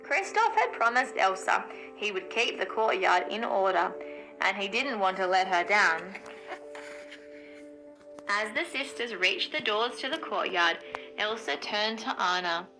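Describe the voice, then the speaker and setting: A woman narrating a children's storybook aloud in an expressive storytelling way. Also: mid-range voice, unhurried, music in the background, rough recording. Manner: expressive storytelling, acts out dialogue.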